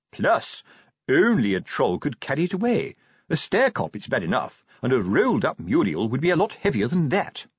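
A man voicing a self-important, slow-witted middle-aged police sergeant in an audiobook, with a pompous, explaining manner. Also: medium pitch, breathy edge, dry recording, highs cut off, voice pushed up. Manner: pompous, explanatory, tag questions.

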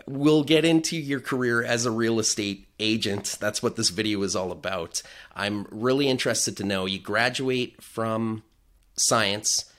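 A man's voice: on the low side, unhurried, fairly bright tone. A man hosting an interview, putting conversational questions to his guest. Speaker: interviewer, host.

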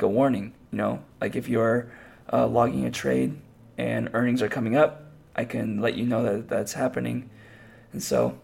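A man with a hoarse, raspy voice, hosting a podcast and chatting casually on his own, rambling with lots of fillers and restarts. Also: mid-range voice, darkish tone. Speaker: podcast host. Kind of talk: casual solo podcast talk.

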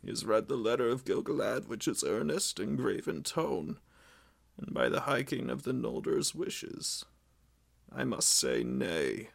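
A man voicing an aging, sorrowful king who speaks softly and gravely, pitched higher than his own voice. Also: sing-song, a little slow, heavily acted.

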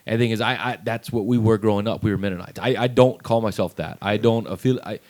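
A man's voice: lowish voice, fast talker, a little mellow. Man, middle-aged, podcast co-host, earnest, casual chat, stumbles on sentence starts. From Texas. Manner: earnest, repeats words when starting sentences.

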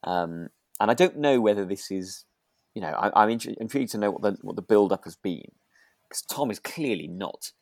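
A man's voice: on the low side, expressive, brisk, a little mellow, no room sound, studio quality. A British man co-hosting a podcast, chatting casually and ramblingly, often rephrasing as he goes. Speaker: podcast co-host. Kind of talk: casual chat about a radio drama.

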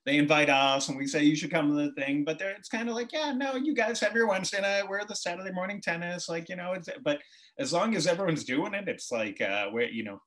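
An American man in his forties, an interview guest, telling personal stories in a casual, animated way and acting out bits of dialogue. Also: fairly high pitch, a little fast. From Boston, United States. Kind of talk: casual conversational storytelling.

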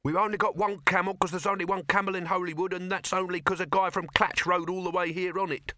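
Casting: male reader as timid, pedantic skinny lad, pitched up, bright, hesitantly correcting his elders. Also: little pitch movement, fast talker, no room sound, clean studio sound. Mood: apologetic.

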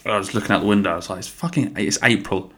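A man's voice: fairly low pitch, rapid-fire, fairly bright tone. A man hosting his own podcast in casual solo chat, rambling and swearing freely, sounding a little bunged up. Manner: rambling, swears casually, many ums and restarts. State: a bit bunged up. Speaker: podcast host.

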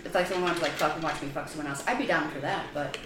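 A woman's voice: low-pitched, reverberant, noisy background. Brash Chicago woman in her thirties. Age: 30s. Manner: brash, crude joking, quick laughs. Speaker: podcast guest, comedian. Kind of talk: casual podcast chat.

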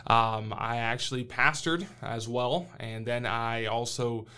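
A man's voice: mid-range voice, steady tone, slow, sharp tone, clear, resonant tone. A man in his thirties who grew up in Brazil, a missionary answering interview questions in an earnest, plain-spoken way with frequent hesitant fillers.

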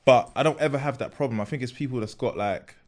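A man, a podcast guest telling quick, slangy stories in casual chat. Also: a crowd in the background. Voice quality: deep voice.

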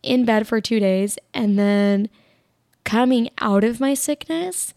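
A young woman with a raspy voice hosting her own podcast, chatting casually and rambling with plenty of "like", tired as she recovers from being sick. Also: a little high, slow, darkish tone, no echo, studio quality. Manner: rambling, filler-heavy, lots of "like". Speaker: podcast host. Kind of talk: casual solo podcast chat.

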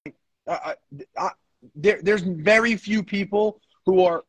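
Male podcast co-host with a raspy voice in a dry space, casual, blunt and insistent. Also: sits high, unhurried, a bit bright, no room sound. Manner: blunt, insistent, repeats phrases for emphasis. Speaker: co-host, powerlifting coach. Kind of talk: casual podcast banter and opinion.

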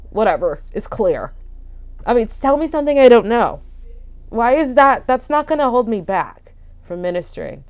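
An African-born young woman minister telling her own experiences in a casual, emphatic way, often restarting her sentences. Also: mid-range voice. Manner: emphatic, animated storytelling with frequent restarts. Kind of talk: casual personal testimony to camera.

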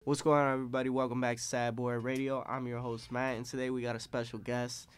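A man's voice: mid-range voice, flat delivery, slight background noise. Casual male podcast host. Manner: friendly street-slang host, quick asides.

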